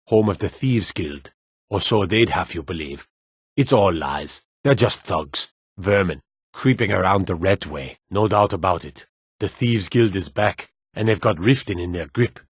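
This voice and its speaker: An actor playing a gruff town guard in a fantasy video game, speaking in terse, emphatic, gossipy remarks. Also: male voice, fairly low pitch, dry recording, clean studio sound. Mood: suspicious. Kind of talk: fantasy game character barks.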